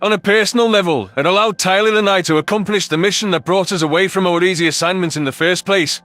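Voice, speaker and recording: A man as a high-voiced, thoughtful alien soldier narrator. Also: fast, fairly bright tone, no room sound, soft background music. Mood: reflective, serious. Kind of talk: scripted first-person sci-fi narration.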